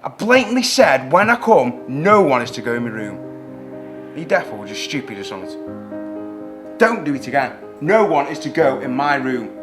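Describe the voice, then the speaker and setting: A man's voice: medium pitch, big pitch swings, moderate pace, music in the background, natural voice. An actor playing an angry, menacing young male extremist in a dramatised film, confrontational and emphatic, repeating himself. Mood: angry, accusing.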